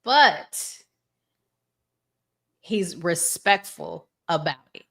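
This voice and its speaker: Slow-talking woman hosting a casual chat. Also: on the low side, expressive, vocal fry, fairly bright tone, husky. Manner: informal, colloquial. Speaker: host of a relationship discussion show. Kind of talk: casual conversational discussion.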